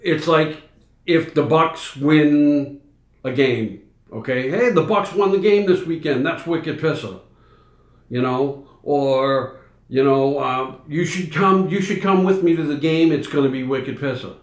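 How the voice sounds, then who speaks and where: A man's voice: a little high, a little slow. A middle-aged man with a Boston accent, chatting casually on a livestream and rambling through fillers and restarts. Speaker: livestream host. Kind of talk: casual live chat answering viewers. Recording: echoey room.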